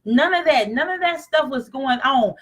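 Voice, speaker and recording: A confident woman chats about her travels straight to her viewers. Her voice is bright and forward, with an easy, talkative flow. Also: high voice, lively intonation, moderate pace. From East Texas. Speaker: vlogger. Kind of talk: casual travel chat.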